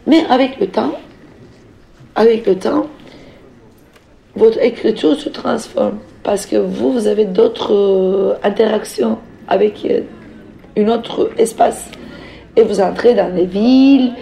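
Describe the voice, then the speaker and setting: A middle-aged woman from Turkey with a Turkish accent, telling her own story off the cuff as a novelist, reflective and halting as she searches for words and repeats phrases for emphasis. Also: mid-range voice, gravelly, mellow, a little hiss or hum, poor audio. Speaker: novelist speaking as a guest. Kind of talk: reflective personal account, spoken off the cuff.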